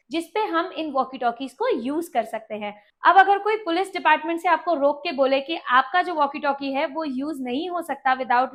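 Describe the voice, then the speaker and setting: A woman presenting an explainer tutorial, going through things step by step and mixing in English terms. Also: sits high. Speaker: video presenter.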